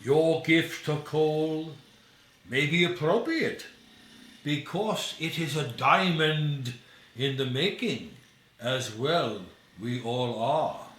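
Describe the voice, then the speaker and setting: A man's voice: on the high side, expressive, slow pace, a bit bright, echoey room, recorded from a distance. A man voicing a redeemed, hopeful ghost in a storybook reading, speaking in grand, solemn, old-fashioned phrases. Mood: consoling.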